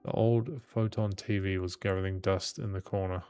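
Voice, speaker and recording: A man reading a visual novel aloud as a gaming host, in measured storytelling with occasional stumbles. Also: low voice, steady tone, mellow. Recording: game music behind the voice.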